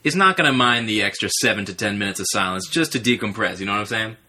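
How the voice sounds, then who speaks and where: A man co-hosting a comedy podcast, chatting casually in an animated, mock-earnest way. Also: fairly low pitch, fast, slight background noise. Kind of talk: casual improvised banter.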